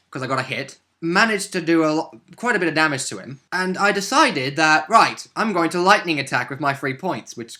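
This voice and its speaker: A man's voice: a little high, sharp tone. A man casually recounting his moves as a player in a tabletop roleplaying game, in a jokey way. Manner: jokey, chuckling often.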